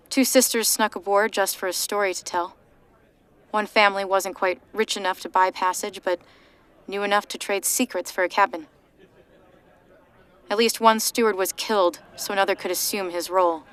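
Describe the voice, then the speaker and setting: Woman narrating a wry, measured story. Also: mid-range voice, a bit bright, clear, resonant tone.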